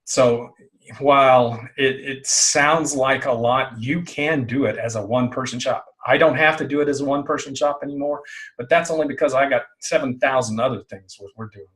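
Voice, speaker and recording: A middle-aged man being interviewed, talking casually and haltingly, often repeating words and phrases as he explains things. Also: mid-range voice, fairly bright tone. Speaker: interview guest, online business owner.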